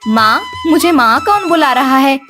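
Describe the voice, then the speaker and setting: High-voiced motherly village housewife played by a woman. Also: animated, unhurried, over music. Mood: puzzled. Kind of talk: cartoon story dialogue.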